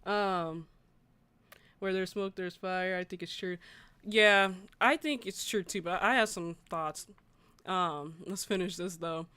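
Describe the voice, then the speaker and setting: Smooth-voiced woman, casual livestream host. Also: lowish voice, fairly even intonation, takes it slowly, bright, forceful tone, no room sound. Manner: informal, conversational, chatting with viewers. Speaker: gaming news livestream host. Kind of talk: casual livestream chat, reading articles aloud and reacting.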